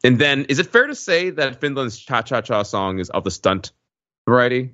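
A man's voice: medium pitch, animated, moderate pace, pushed and bright, no echo. A man co-hosting a podcast, chatting casually about pop culture, on slightly dull audio. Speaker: podcast co-host.

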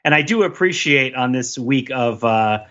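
A man's voice: medium pitch, animated, normal speed, sharp tone, muffled recording. A man speaking as a podcast co-host in a casual pop-culture discussion, rambling and jokey, often repeating his phrases.

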